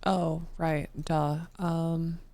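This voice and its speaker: A woman's voice: sits low, flat delivery, drawn-out words, gravelly, warm tone, no echo. A tired woman live-streaming while coding, who swears freely and mutters to herself as she works, her voice worn down. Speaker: livestreamer.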